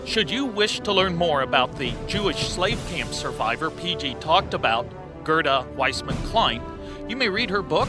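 A man reading scripted narration and credits as the producer and narrator of a radio program. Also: fairly high pitch. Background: music behind the voice.

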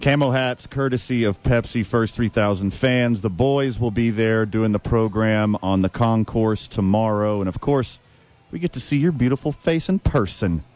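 A man with a Texan accent hosting a sports radio show, talking with loud, hype-man enthusiasm. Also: fairly low pitch, normal speed. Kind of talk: casual sports radio chat.

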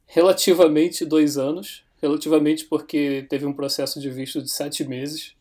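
A Brazilian man speaking with a slightly higher voice than most men. He chats casually as a podcast guest, unhurried and thoughtful, and often pauses to restart his sentences. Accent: Brazilian Portuguese. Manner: relaxed, thoughtful, with frequent fillers and restarts. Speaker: podcast guest, software developer. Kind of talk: casual chat.

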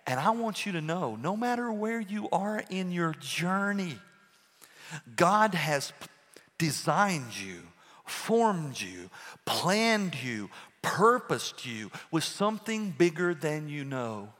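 A pastor preaching a sermon, emphatic and full of rhetorical questions. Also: male voice, on the high side, big pitch swings, slow pace, a bit bright. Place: church sanctuary. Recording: long reverb in a church hall.

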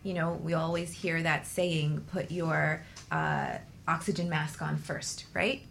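A woman with a low, gravelly-edged voice hosts a conversational radio show. She talks in a reflective, explanatory way, checking in as she goes. A faint noise sits under the recording. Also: measured pace, a bit bright. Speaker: talk show host.

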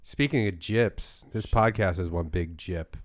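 A man's voice: sits low, measured pace, no echo. A young man co-hosting a podcast, riffing on crude absurd jokes in casual chat. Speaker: podcast co-host.

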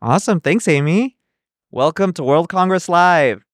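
A man's voice: on the high side, animated, moderate pace, no echo, studio quality. A man hosting a podcast with casual on-the-spot interviews, in an upbeat, appreciative manner. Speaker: podcast host.

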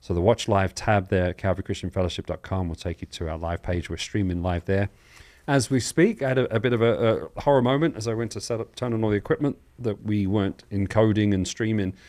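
This is a man with a fairly deep voice hosting a live call-in style program. He talks informally and welcomingly, moving through announcements and explanations in a chatty broadcast manner. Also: fairly quick, hardly any pauses, a little mellow. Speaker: host of a live Bible question show.

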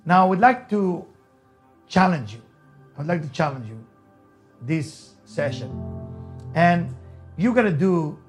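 A middle-aged man from Israel with an Israeli accent, a native Hebrew speaker, giving an emphatic, repetitive motivational talk. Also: a little high.

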